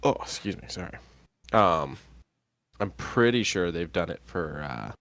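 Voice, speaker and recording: A man's voice: low-pitched, lively intonation, a little slow, fairly bright tone, no echo. A sniffly man co-hosting a casual podcast chat, rambling with lots of ums. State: sniffly, congested. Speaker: podcast co-host.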